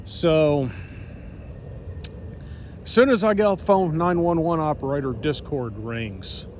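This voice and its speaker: A man's voice: a little high, measured pace, slight background noise. An American man telling a personal story casually to followers, in a blunt, sarcastic, plain-spoken manner. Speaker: storyteller recounting own experience to followers.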